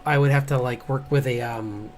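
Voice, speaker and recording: A man streaming as he draws, chatting casually in a halting, rambling way as an artist. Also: mid-range voice, steady tone, darkish tone. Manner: halting, rambling, chuckling asides. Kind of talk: casual livestream chat while drawing. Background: background music.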